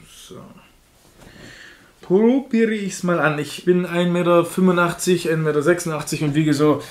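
Chatty young German man, fairly high voice. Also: darkish tone. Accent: German with a slight foreign lilt. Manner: chatty, frank, laughs at himself. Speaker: unboxing reviewer. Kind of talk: casual unboxing commentary.

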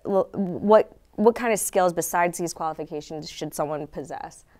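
A woman's voice: fairly low pitch, normal speed, a little mellow. An American woman in her thirties hosting a casual studio interview. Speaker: host. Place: indoor TV studio at a desk.

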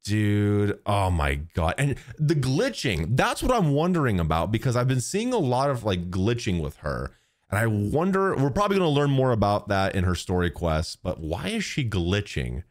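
Animated young man reacting, low voice. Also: moderate pace. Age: young adult. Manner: excitable, hyped, slangy. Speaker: YouTube reaction host and streamer. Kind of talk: casual game trailer reaction. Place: small home streaming room. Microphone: close boom-arm microphone.

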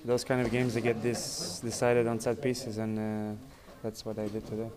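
Flat-voiced soccer captain in a noisy scrum. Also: male voice, fairly low pitch. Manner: even-toned, halting, repeats words. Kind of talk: post-match press interview. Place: indoor press area in front of a sponsor backdrop. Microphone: reporters' handheld mics and phones held close. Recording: noisy crowded press area. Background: crowd chatter.

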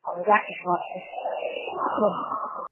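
A woman's voice: normal speed, a little hiss or hum. A woman leaving a personal, conversational voicemail message, recorded over a lo-fi phone line.

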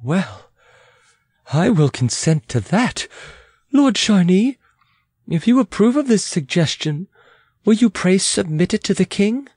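A man's voice: sits high, very animated, unhurried, long pauses, darkish tone, husky, dry recording, studio quality, pitched above the performer's own voice, exaggerated voice. A man voicing a proud, imperious and agitated queen in a dramatic audiobook, haughty and exclamatory. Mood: commanding. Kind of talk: dramatic audiobook character reading.

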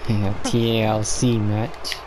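Slow-talking male gamer over crowd noise. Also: lowish voice, level tone, low-quality recording. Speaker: gamer playing along with a friend. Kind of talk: casual gameplay chat. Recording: clear game crowd noise behind.

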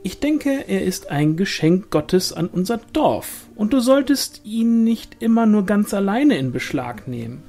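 A man's voice: sits high, big pitch swings, a little slow, gravelly, hardly any pauses, mellow, soft background music, pitched above the performer's own voice, full character voice. A man voicing a gossipy, insistent village woman in an audiobook story, eager and chatty. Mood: soothing, insistent. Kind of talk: audiobook character dialogue.

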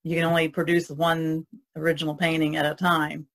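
A woman's voice: deep voice, monotone, a little fast. A woman on a podcast panel, explaining things plainly in casual conversation.

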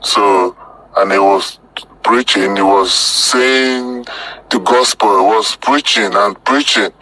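A man from southern Nigeria with a Nigerian accent, recounting a personal experience in casual, halting short phrases that often repeat. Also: on the low side, a crowd in the background, rough recording. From Delta State, Nigeria. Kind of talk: casual spoken testimony.

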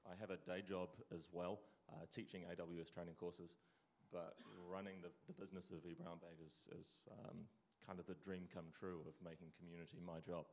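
Low-voiced New Zealand man. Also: level tone, brisk, darkish tone. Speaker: community organizer, panelist. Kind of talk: conference panel talk.

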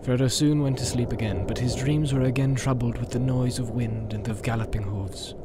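A man's voice: medium pitch, steady tone, moderate pace, very noisy. A man narrating an audiobook in a dramatic storytelling style.

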